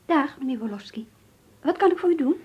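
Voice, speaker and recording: High-voiced curious young secretary, muffled recording. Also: female voice, lively intonation, a little fast. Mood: polite, curious. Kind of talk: Dutch radio play acting, diary narration. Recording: dull, muffled old radio recording.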